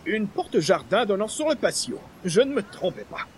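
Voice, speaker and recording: A man's voice: high voice, very animated, normal speed, a little hiss or hum. An actor playing a pompous, hapless male columnist with florid, formal wording in a radio comedy. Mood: puzzled, self-assured. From Quebec. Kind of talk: 1940s-style radio comedy acting.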